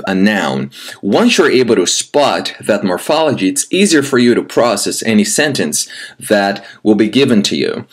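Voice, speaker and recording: Brazilian man in his thirties teaching. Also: fairly low pitch. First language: Brazilian Portuguese. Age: 30s. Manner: friendly, addresses the viewer directly, pauses with "um". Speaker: language teacher. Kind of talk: recorded teaching application and sample lesson.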